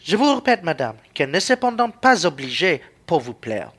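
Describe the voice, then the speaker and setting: A man's voice: fairly high pitch, big pitch swings, normal speed, fairly bright tone, slightly rough, heavily acted. A man playing an outspoken, principled traveller in a radio play, indignant and argumentative.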